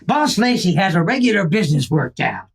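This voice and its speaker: Woman playing a cocky, animated outlaw cowhand. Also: fairly low pitch, fairly quick, vocal fry, husky, exaggerated voice. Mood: condescending, boastful. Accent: American. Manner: condescending, folksy. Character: cocky, knowing male outlaw lieutenant. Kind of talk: Western radio play acting.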